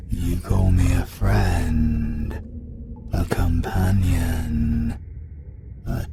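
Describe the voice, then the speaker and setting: A British man voicing an ancient, menacing male demon god who possesses a hunter, speaking slowly, coldly and with command. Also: low-pitched, full character voice. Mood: contemptuous. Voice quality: gravelly. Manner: slow, cold, commanding. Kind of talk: fantasy audio roleplay. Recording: loud ambient noise behind.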